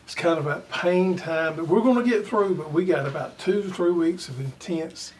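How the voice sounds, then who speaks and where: A man hosting a garden radio show in casual chat, his voice slightly raspy as he recovers from illness. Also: on the high side, normal speed, a little mellow. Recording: distant mic, faint noise.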